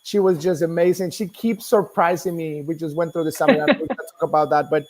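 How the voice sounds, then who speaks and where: A man's voice: a little high, fairly even intonation, brisk, darkish tone. A middle-aged man with a Colombian accent, a native Spanish speaker, telling his own story informally as an interview guest. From Bogota, Colombia. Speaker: guest, nonprofit executive.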